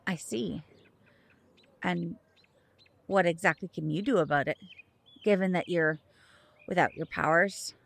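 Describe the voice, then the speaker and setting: An actress playing a composed, knowledgeable mother with a measured manner in an audio drama. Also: fairly low pitch, vocal fry. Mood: wary.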